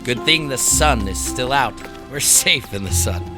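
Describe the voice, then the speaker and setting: A man's voice: mid-range voice, expressive, a little slow, over music, natural voice. A man playing an easygoing, cheerful hiker in a fiction podcast, speaking conversationally. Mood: light. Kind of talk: audio fiction podcast acting.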